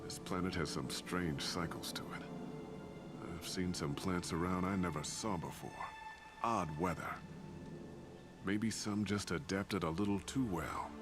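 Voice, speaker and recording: An actor playing a disgraced older male ship captain in a video game, speaking slow and subdued. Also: sits low. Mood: weary. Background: game music.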